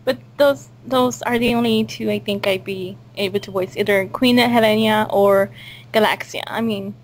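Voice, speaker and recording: A woman's voice: mid-range voice, steady tone, normal speed. A woman hosting a casual cast interview, talking in a hesitant, rambling way.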